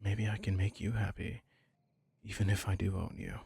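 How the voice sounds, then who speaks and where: A man playing a doting, possessive young mafia heir in an intimate ASMR roleplay, husky and breathy, coaxing tenderly and teasingly. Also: deep voice, little pitch movement, normal speed, a little mellow, a little hiss or hum. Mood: confessional, obsessive.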